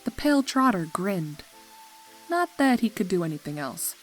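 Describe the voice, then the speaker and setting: A woman's voice: mid-range voice, big pitch swings, normal speed. Woman narrating a story over music.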